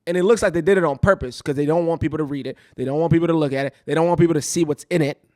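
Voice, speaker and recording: A woman's voice: sits low, racing, mellow, dry recording, studio quality. A woman from Kenya hosting a talk show, giving casual, emphatic opinion commentary and repeating phrases to drive points home. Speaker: show host.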